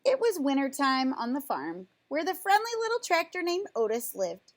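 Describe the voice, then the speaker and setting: Female storybook reader, higher register, expressive and emphatic delivery for a young audience. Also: normal speed. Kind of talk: read-aloud children's story with dramatic emphasis.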